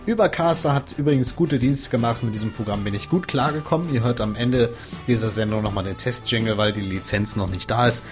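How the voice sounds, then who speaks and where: A man hosting a laid-back tech podcast at an average pitch, chatting easily and filling pauses with little sounds. Faint music plays behind his voice. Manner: relaxed, chatty, with filler sounds. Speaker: podcast host. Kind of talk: casual tech podcast interview.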